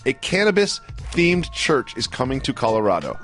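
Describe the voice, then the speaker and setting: A man's voice: mid-range voice, sing-song, moderate pace, a bit bright, faint music behind. An American man co-hosting casual sports radio banter, speaking emphatically, often repeating himself and asking lots of questions. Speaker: sports talk show co-host.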